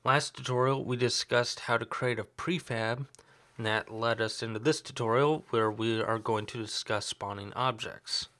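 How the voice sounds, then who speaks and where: A young man with a slight sore throat, talking through a screen-recorded coding tutorial in a relaxed, explanatory way. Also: medium pitch, normal speed. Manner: relaxed, explanatory, thinks aloud with fillers. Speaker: tutorial host.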